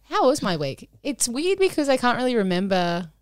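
A woman's voice: on the high side, big pitch swings, moderate pace, smooth, dry recording, studio quality. Chatty woman podcast host. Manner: upbeat, chatty, sings parts of the intro. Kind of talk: casual podcast chat.

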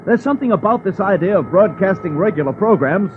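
Earnest radio tinkerer, lively pitch. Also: male voice, fast, noisy background. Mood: determined. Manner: earnest, determined. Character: earnest, stubborn early radio experimenter and tinkerer. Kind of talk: 1940s radio acting. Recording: tinny 1940s radio broadcast, faint music.

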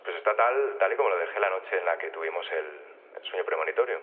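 A man's voice: deep voice, lively intonation, fast. A man playing a slightly anxious woman living in a man's body in a radio drama, hesitant and conversational on the phone. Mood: nervous, chatty. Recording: tinny, narrowband phone line.

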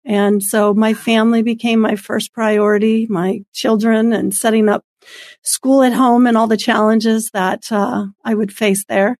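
A woman's voice: on the high side, steady tone, measured pace, a little mellow, no echo, studio quality. A woman in her fifties giving an earnest, devout personal testimony as an interview guest. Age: 50s. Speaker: guest, author and homeschooling mother.

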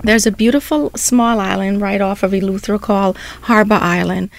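A woman's voice: mid-range voice, steady tone, brisk, creaky. Middle-aged woman with a Bahamian accent. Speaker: interview guest. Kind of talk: casual chat.

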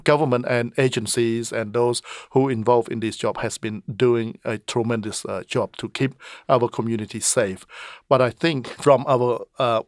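A middle-aged man, a member of parliament answering interview questions in an earnest, halting way with many pauses. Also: mid-range voice, no room sound, studio quality. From Cambodia.

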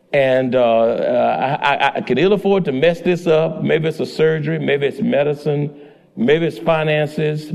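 A male pastor preaching a sermon, swinging between loud exclamations and low asides. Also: gravelly.

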